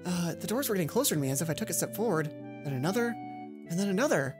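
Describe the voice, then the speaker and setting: A woman hosts a let's play of a visual novel. She reads the narration aloud and breaks into casual asides. Game music is clearly present under her voice. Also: lowish voice, expressive, racing.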